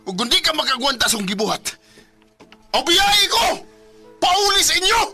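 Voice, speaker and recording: An actor playing a callous, hard-drinking, quick-tempered husband in a Visayan radio drama, sneering and blustering in a Cebuano Bisaya accent. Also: male voice, extremely high pitch, big pitch swings, bright, forceful tone, faint music behind, full character voice. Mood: enraged.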